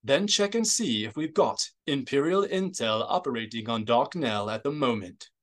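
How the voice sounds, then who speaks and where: A man's voice: medium pitch, animated, normal speed, close to an everyday voice. Stern male security colonel for an audiobook, guarded and stiff, used to command acted by a male reader.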